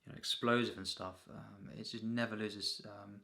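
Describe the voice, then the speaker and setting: A man with a fairly low, creaky voice gives an off-the-cuff film review. His delivery stays in a narrow pitch band with a hard, forceful edge. He speaks haltingly, circling back and repeating himself as he goes. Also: fairly even intonation. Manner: halting, repetitive, frequent throat clearing and filler phrases. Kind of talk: unscripted, rambling film review.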